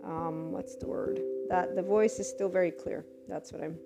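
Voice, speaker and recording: A woman hosting a podcast on a headset mic, speaking loudly and enunciating her words carefully, getting passionate as she goes. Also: on the low side, fairly even intonation, normal speed, loud music behind the voice. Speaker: podcast host. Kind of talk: scripted intro then casual podcast talk.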